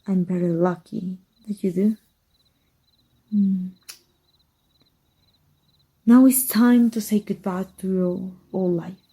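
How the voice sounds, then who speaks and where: A woman voice actor playing a tender, teasing evil magic teacher in an intimate ASMR roleplay, slow and hushed with a husky, breathy voice. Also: mid-range voice, full character voice. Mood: gentle, inviting. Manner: slow, hushed, deliberate, seductive.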